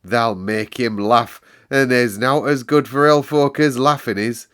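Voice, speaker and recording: A man's voice: mid-range voice, smooth, voice pushed up, close to an everyday voice. A man voicing a cheerful, kindly country boy in a storybook, speaking broad Yorkshire dialect with eager wonder. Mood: amused. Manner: broad dialect, warm exclamations, unhurried wonder.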